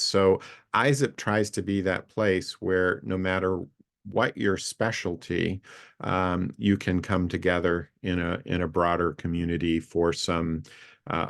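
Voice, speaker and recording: A man's voice: sits low, vocal fry. A man in his late sixties talking casually on a video call, introducing himself to a community group in a rambling, friendly way with many fillers and restarts. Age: 60s. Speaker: co-founder introducing an online community session.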